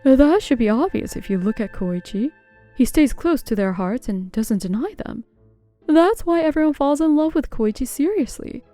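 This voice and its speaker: Woman as a stern, mellow-voiced female manager. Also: high voice, lively intonation, normal speed, soft background music. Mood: cool, reproving.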